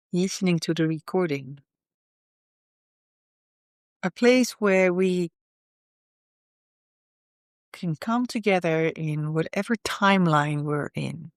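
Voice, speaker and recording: A woman leading a guided meditation in a soothing, unhurried way, often pausing in the middle of phrases. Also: lowish voice, clear, resonant tone, dry recording, clean studio sound.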